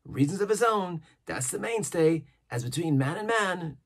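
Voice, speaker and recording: Storybook castaway pirate, played by a male reader: old, sly, excitable, high husky voice, rambling and repeating himself in rustic sailor talk. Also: moderate pace, full character voice. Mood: anxious, insistent. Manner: emphatic, repetitive, rustic sailor's speech.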